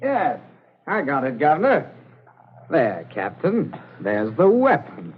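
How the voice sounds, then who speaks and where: An actor playing a rough henchman who carries out orders with relish, in 1940s radio drama style. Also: fairly high pitch, huge pitch swings, slow pace, full character voice. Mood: gruffly amused. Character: rough, obedient henchman who enjoys the violence. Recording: dull, slightly hissy old radio broadcast.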